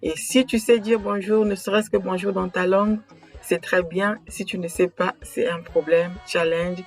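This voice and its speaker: A woman's voice: fairly low pitch, steady tone, moderate pace, soft background music. A middle-aged woman from Congo, addressing the camera in an earnest, emphatic way and repeating words as she searches for them. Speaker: association founder presenting her channel. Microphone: camera mic, speaking straight to camera.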